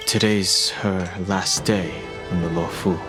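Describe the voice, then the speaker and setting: A man's voice: deep voice, slow, music in the background. An actor playing a reserved, terse and stoic young man in a video game. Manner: flat, terse.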